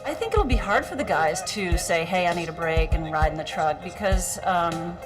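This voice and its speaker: A woman's voice: fairly low pitch, expressive, normal speed. Candid American woman rider. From United States. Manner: candid, matter-of-fact. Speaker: tour rider. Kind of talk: interview to camera. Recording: loud music under voice.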